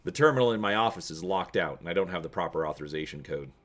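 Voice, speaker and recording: A man's voice: deep voice, expressive, fast talker, a bit bright. A man voicing an earnest, guarded young undercover hero in a dramatic story reading.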